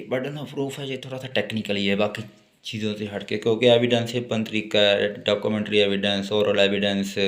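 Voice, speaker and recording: A man with a Pakistani accent speaks slowly in a somewhat low voice, as a lecturer would to students. He reads out notes with deliberate care and explains them in plain, repetitive terms. The room around him is clearly echoey. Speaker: law lecturer. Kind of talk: exam-focused lecture, reading English notes and explaining them.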